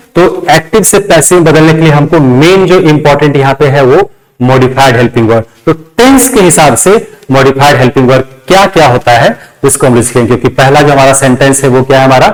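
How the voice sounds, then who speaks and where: A man's voice: fairly high pitch, poor audio. A man with an Indian accent teaching a lesson step by step, repeating key phrases and answering his own questions. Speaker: English grammar teacher. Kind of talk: classroom lesson, step-by-step explanation.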